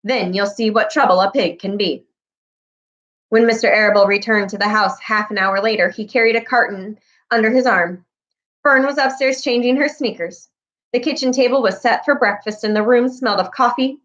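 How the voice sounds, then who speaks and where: A woman's voice: on the high side, normal speed, a bit bright, lo-fi sound. A woman reading aloud to children in a storybook narration style. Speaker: read-aloud reader.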